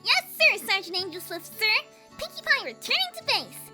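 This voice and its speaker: A woman's voice: extremely high pitch, sing-song, moderate pace, music in the background. A woman voicing a hyper, playful cartoon pony girl pretending to be a soldier in an exaggerated military manner. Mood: mock-military, playful.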